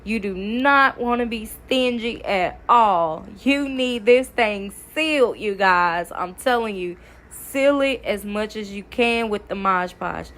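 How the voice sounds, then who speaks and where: A woman's voice: medium pitch, takes it slowly, fairly bright tone. A woman, stuffy from a cold, chatting casually through a DIY craft tutorial. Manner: chatty, addresses viewers as y'all. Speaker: craft video creator. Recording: faint background noise.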